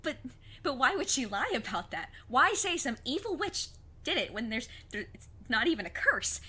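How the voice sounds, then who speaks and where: A woman playing a plucky, earnest fifteen-year-old witch's apprentice in an audio drama, with a husky voice and formal, precise wording. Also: high-pitched, very animated, moderate pace, bright, forceful tone, full character voice. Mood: shaken, distressed. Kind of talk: scripted audio drama acting.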